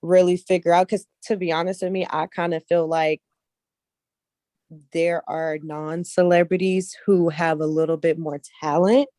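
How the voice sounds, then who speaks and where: A woman's voice: on the low side, level tone, normal speed, warm tone, dry recording, studio quality. A woman speaking casually as an interview guest, repeating points for emphasis and often checking that the listener follows. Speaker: interview guest, casting director and actress.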